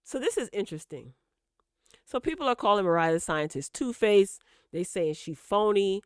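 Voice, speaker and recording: A woman with a smooth, clear voice and a mid-range pitch hosts casual gossip commentary. She talks straight to her listeners, emphatic and opinionated, repeating words to drive a point home. The sound is dry and close, with no room echo. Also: lively intonation, normal speed. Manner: emphatic, direct address to listeners with repetition for emphasis. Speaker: host of a celebrity gossip commentary channel.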